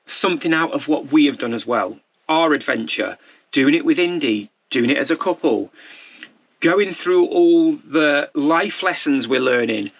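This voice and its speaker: A man's voice: a little high, fairly bright tone. A man in his forties, a vlogger talking off the cuff to camera in a candid, rambling, self-deprecating way. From United Kingdom. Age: 40s.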